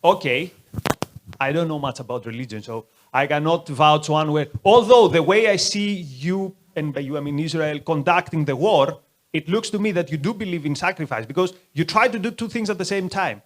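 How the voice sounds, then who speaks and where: A man's voice: fairly high pitch, moderate pace. A man leading a conversational lecture, taking questions from the audience in a museum hall. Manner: conversational, uses many "like" asides.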